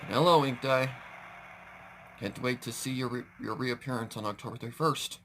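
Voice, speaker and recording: A man's voice: mid-range voice, normal speed, a bit bright, a little hiss or hum, poor audio. A man giving casual, off-the-cuff reaction commentary, rambling and hesitant, often correcting himself as he goes. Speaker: reaction video host.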